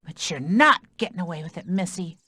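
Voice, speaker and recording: A woman's voice: lowish voice, expressive, brisk, pushed and bright, husky, no echo, studio quality, heavily acted. An actress playing a feisty, defiant young country woman in a video game. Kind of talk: scripted video game acting.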